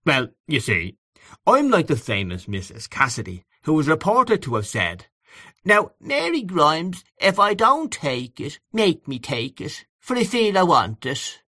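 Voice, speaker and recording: A man voicing a sly, over-familiar older gentleman with a Dublin accent, mimicking for laughs in an audiobook. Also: on the high side, huge pitch swings, a little slow, dry recording, studio quality, voice pushed up, full character voice. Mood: sly, jokey. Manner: sidling, mimicking.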